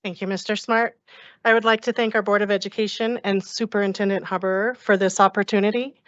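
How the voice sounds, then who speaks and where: A woman's voice: mid-range voice, fairly even intonation, a little fast, fairly bright tone, dry recording, dull audio. A woman who was just appointed as an administrator reads a sincere, composed thank-you speech. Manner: composed, sincere, reading prepared words. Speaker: newly appointed special education administrator.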